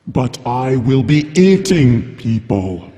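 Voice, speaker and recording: A man's voice: medium pitch, sing-song, slow, dark tone. A man playing a cold, imperious dragon king who speaks with menacing grandeur and contempt in a live audio drama. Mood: contemptuous. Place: stage at a convention hall. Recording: live stage recording in reverberant hall.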